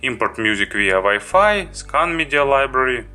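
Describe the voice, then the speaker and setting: Bright-voiced man reviewing a gadget. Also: mid-range voice, sing-song, faint music behind. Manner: halting, self-correcting delivery. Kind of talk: unscripted product review walkthrough.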